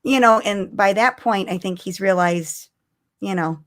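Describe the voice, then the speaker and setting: A middle-aged woman co-hosting a live podcast, chatting casually with an animated, giggly and excitable manner. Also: mid-range voice, unhurried. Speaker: podcast co-host. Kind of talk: casual fan chat on a live stream.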